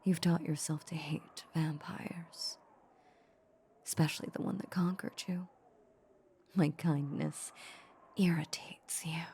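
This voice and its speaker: A woman playing a seductive, possessive female vampire in an intimate roleplay audio drama, teasing and condescending in a breathy half-whisper. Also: sits low, fairly even intonation, a little hiss or hum. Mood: amused, smug. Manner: slow, teasing, condescending purr. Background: soft ambient sound effects.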